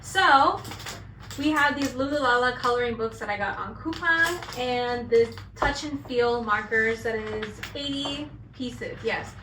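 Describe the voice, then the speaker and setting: A woman's voice: fairly high pitch, unhurried, pushed and bright. A young American woman chatting casually as a vlog co-host while coloring, talking in an upbeat way and using "like" often. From United States. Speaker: vlogger co-host. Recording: echoey room, distant mic, faint hiss.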